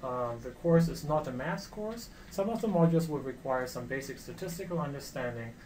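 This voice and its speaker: Casting: middle-aged German-accented male professor, mid-pitched, earnest lecturing, faint hiss behind. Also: darkish tone, poor audio. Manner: earnest, with frequent fillers and restarts. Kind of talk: online course lecture.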